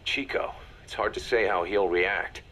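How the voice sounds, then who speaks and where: A man's voice: low voice, fairly even intonation, a little slow, sharp tone, heavily acted. A man playing a shrewd, hard-nosed mercenary second-in-command, assured and businesslike, in a video game audio drama. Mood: cool, pragmatic.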